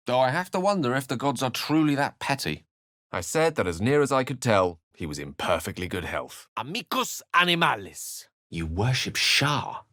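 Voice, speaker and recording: A man's voice: medium pitch, very animated, moderate pace, a bit bright, dry recording, clean studio sound. A British man voicing an eloquent, courteous wizard in a video game, with articulate, bookish and theatrical phrasing. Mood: wry, thoughtful. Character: eloquent, urbane male wizard, wry and courteous. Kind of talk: video game character voice acting.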